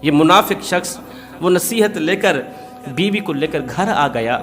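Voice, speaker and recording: High-pitched male preacher in long reverb. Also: lively intonation, unhurried, a bit bright, soft background music. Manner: emphatic, rhetorical repetition. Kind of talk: sermon with moral storytelling.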